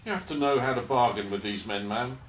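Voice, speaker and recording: An actor playing a matter-of-fact dockside police officer, deadpan and folksy, in an amateur radio play. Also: male voice, mid-range voice, a little fast, roomy sound, a little hiss or hum, lightly acted, low-quality recording. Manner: deadpan, bargain-minded, folksy.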